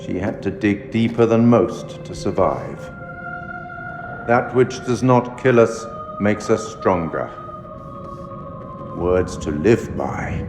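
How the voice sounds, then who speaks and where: A man's voice: lowish voice, lively intonation. An actor playing an enigmatic, sardonic male curator in a video game, speaking formally and theatrically to the player as he judges their choices. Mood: wry, appraising. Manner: measured, formal, wryly sardonic. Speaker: narrator addressing the player. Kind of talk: theatrical scripted game narration. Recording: long hall reverb, music underneath. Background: music.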